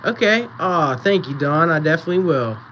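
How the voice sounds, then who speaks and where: A man hosting a live-streamed auction, chatting casually and counting down bids, with a voice going raspy. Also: a little high, expressive, a little fast, a bit bright, slight background noise, rough recording. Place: small indoor photo booth setup.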